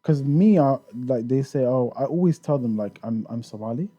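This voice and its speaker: A man's voice: mid-range voice, lively intonation, normal speed, no echo, muffled recording. A man raised in the UK, talking casually as a podcast guest about touring in Japan, with many restarts and fillers. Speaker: guest, Japan tour organizer.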